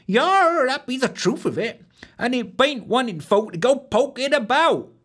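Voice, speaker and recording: A nervous young barmaid with a burr and rustic speech, as a man puts her on in a high falsetto. Her voice swoops up and down as she frets, and she speaks slowly and carefully. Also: expressive, fairly bright tone, heavily acted. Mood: fearful.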